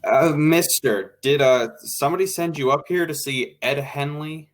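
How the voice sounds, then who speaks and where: A man's voice: on the high side, moderate pace, close to an everyday voice. A man playing a wry, bossy radio show colleague in an old-time radio drama, with a dry, casual, take-charge manner. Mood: mildly impatient.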